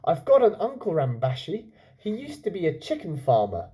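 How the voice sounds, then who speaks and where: A man voicing an eager young boy in a children's story, in a chirpy, brisk manner. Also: on the high side, wildly swooping pitch, warm tone, voice pushed up.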